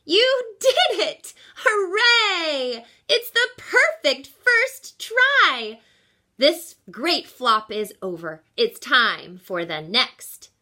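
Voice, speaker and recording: A woman voicing an exuberant, encouraging old great-great-aunt in a storybook, with emphatic, drawn-out lines. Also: high voice, sing-song, bright, forceful tone, voice pushed up, heavily acted. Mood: jubilant, proud. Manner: emphatic, drawn-out, celebratory delivery.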